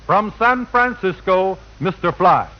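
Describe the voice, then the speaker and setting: Very high-pitched male 1940s radio announcer. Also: animated, unhurried. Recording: muffled 1940 radio broadcast.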